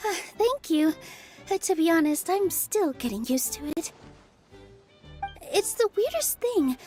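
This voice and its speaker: A sweet young forest fairy, with a very high voice. She speaks gently and earnestly, a little bashful and hesitant, in game-acting style with music underneath. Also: sing-song, slow, pauses often, exaggerated voice. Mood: bashful, explaining. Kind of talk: video game character acting. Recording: background music behind the voice.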